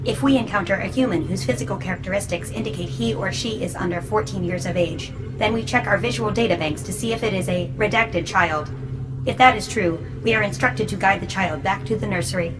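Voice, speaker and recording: An emotionless robot servitor, voiced by a woman, speaks in a mid-pitched feminine voice for a sci-fi audio drama. Its phrasing is formal, literal and precise, with detached machine calm. Music plays clearly underneath. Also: brisk, natural voice, low-quality recording. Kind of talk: scripted audio drama acting.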